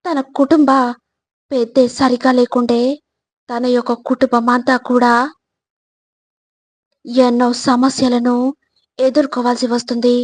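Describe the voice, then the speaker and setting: Slow, even-toned woman narrating life advice. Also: on the high side, no echo. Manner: measured, instructive, addressing the listener directly. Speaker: narrator of motivational videos. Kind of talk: scripted motivational narration.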